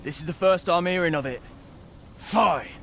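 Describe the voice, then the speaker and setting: A man's voice: high-pitched, normal speed, creaky, pushed and bright, a little hiss or hum, full character voice. A man playing a dutiful, earnest town soldier in a fantasy game, speaking courteous archaic English. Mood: worried, pleading.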